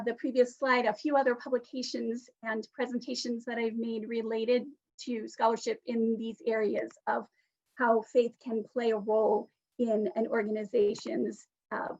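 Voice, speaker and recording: A woman with a fairly high voice and plenty of vocal fry delivers a prepared panel talk. She is articulate and earnest, like an academic presenter. The audio is a little dull and lo-fi, as on an online call.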